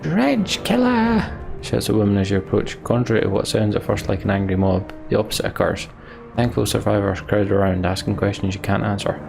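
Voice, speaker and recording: A man's voice: fairly low pitch, flat delivery, fast, creaky, over music. A man hosting a let's play, reading game narration aloud and adding his own commentary.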